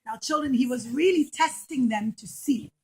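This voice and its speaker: A woman teacher telling a story to young children in an animated way, with simple, repetitive, emphatic phrasing. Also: high voice, moderate pace, breathy edge.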